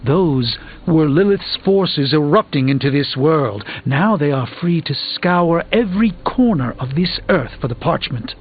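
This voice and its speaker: Grave, proud sorcerer, tinny audio. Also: male voice, fairly high pitch, lively intonation, measured pace, hardly any pauses. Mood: despondent. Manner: formal, solemn, eloquent. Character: proud middle-aged male sorcerer, defeated and grave. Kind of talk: video game voice acting. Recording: tinny band-limited game audio with music.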